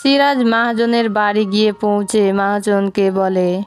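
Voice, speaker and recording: A woman storyteller with a very clear, smooth voice delivers scripted cartoon narration and dialogue. Her pitch sits in the middle, and faint music plays underneath. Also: flat delivery, measured pace. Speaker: storyteller, also voicing the wives.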